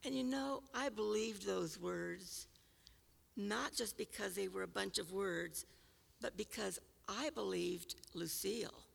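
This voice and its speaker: Raspy older woman, slow and reflective. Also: medium pitch, long reverb tail. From California. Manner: unhurried, reflective, with small restarts. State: raspy-voiced this morning. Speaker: storyteller. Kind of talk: personal reminiscence.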